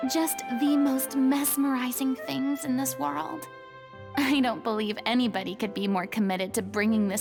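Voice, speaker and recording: A showy, self-assured grande dame in a game, unhurried and drawn out, her voice high and lilting. Game music plays clearly under her lines. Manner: pompous, dramatic, self-assured. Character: grand, theatrical young woman of high rank.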